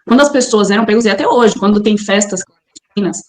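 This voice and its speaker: A woman's voice: medium pitch, fast, echoey room, rough recording. A Brazilian woman with a Brazilian Portuguese accent, chatting as an interview guest over a video call.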